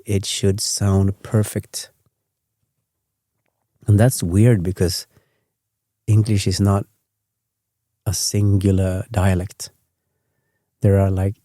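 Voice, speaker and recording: A man from Sweden who speaks English with a Swedish accent as a second language, hosting a sleep podcast in a rambling, self-correcting, gently chatty way. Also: lowish voice, dry recording, studio quality. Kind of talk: meandering bedtime talk.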